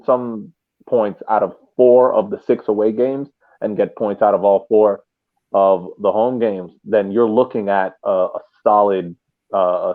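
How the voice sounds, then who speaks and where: Phone-like audio of a lowish-voiced man. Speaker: podcast panelist. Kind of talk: casual sports discussion. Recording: thin phone-like remote connection.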